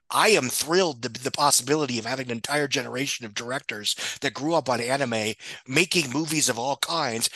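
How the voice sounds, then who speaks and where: A man's voice: medium pitch, pushed and bright, dry recording, poor audio. A man giving casual film commentary as a podcast guest, opinionated, articulate and apt to swear.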